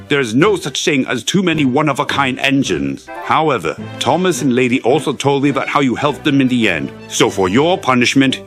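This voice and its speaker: Male actor as railway controller, authoritative, formal, fair-minded, animated intonation, music behind. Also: mid-range voice, natural voice. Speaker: railway controller giving orders. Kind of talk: comic dub voice acting.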